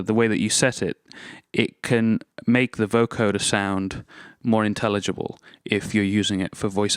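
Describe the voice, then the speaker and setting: A man's voice: lowish voice, clean tone, no echo, clean studio sound. A man presenting an instructional gear demonstration, explaining methodically, step by step. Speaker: tutorial presenter.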